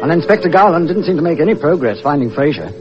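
An anxious keeper of a rooming house in a vintage radio mystery. His fairly high, animated voice hesitates and stammers. The recording is muffled, with music underneath. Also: quick pace. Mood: brooding narration. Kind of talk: old-time radio mystery acting and narration.

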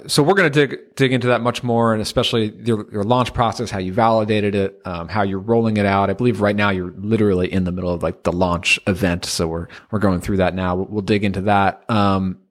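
Fairly low-voiced guy hosting, rambling questions full of "like". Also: fairly even intonation, brisk, few pauses. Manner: loose, friendly questioning with many fillers. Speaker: podcast host. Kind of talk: casual interview talk.

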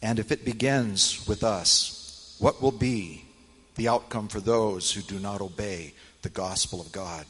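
A man with a fairly low, husky voice reads scripture aloud in a solemn style. He phrases deliberately and measuredly, and long reverb of a hall trails his words. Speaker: church elder reading scripture. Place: large church hall, speaking to congregation.

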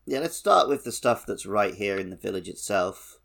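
Male co-host, lowish voice with heavy fry, relaxed gaming chat. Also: moderate pace. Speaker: podcast co-host. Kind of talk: casual gaming podcast chat.

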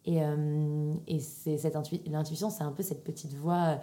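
Low, mellow Parisian woman, casual and hesitant. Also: level tone, normal speed. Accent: Parisian French. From Paris region, France. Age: 30s. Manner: informal, hesitant, thinking aloud. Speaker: podcast guest, entrepreneur. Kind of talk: casual interview answers.

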